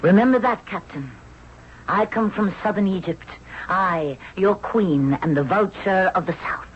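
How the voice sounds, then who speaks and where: A woman's voice: medium pitch, unhurried, close to an everyday voice. An actress playing a proud, commanding young queen of ancient Egypt in a 1970s radio drama. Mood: stern, commanding. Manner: haughty, commanding. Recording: dull, noisy old radio broadcast recording.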